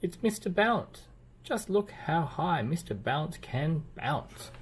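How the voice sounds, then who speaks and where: A man's voice: fairly high pitch, very animated, slow, slight background noise, voice pushed up. A man voicing a busy, bossy little storybook character who exclaims urgently and hurries everyone along. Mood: excited.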